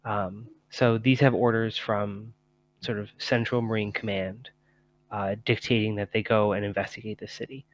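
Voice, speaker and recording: A man acting as game master, improvising descriptions for a tabletop role-playing game in a halting way. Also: lowish voice, flat delivery, low-quality recording.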